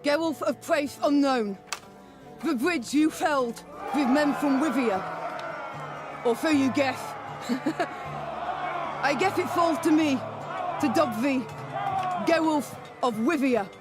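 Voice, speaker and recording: A woman's voice: mid-range voice, animated, moderate pace, over music, a crowd in the background, lightly acted. Woman acting an imperious warrior queen. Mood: wry, proclaiming. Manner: regal, archaic phrasing, imperious. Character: proud, commanding warrior queen, wry and stern. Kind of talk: video game voice acting.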